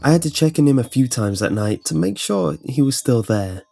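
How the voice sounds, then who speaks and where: A man's voice: mid-range voice, lively intonation, moderate pace, vocal fry, a little mellow. A man reading short first-person horror anecdotes as a scripted narration. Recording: voice-over with music behind. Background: music.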